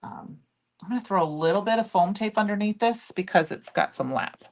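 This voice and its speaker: A middle-aged woman with a loud voice, chatting and rambling as she demonstrates a craft project live and answers viewers by name. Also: mid-range voice, brisk. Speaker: crafting livestream host. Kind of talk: casual chat while demonstrating crafts.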